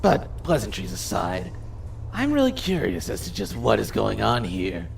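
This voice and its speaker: A man playing a haughty, sarcastic, commanding supernatural gang leader in an audio drama, condescending and formally spoken, with a creaky voice. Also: on the low side, very animated, moderate pace, far from the mic, soft background music. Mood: smug. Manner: condescending, mock-polite, formal wording. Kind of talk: audio drama character acting.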